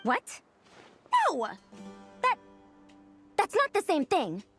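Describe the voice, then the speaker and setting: Proud girlish veteran scholar, high voice, haughty, lecturing, old-fashioned, anime game, light music behind. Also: wildly swooping pitch, slow pace, a bit bright, full character voice. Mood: flustered. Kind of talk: anime-style video game voice acting. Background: background music.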